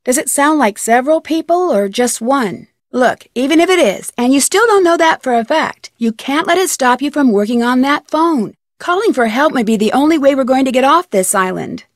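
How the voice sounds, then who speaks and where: A young American woman playing a plucky, determined detective in an adventure game, speaking earnestly and clearly. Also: sits high, lively intonation, moderate pace, few pauses, no echo, studio quality. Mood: matter-of-fact, then earnest, concerned. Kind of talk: scripted game dialogue.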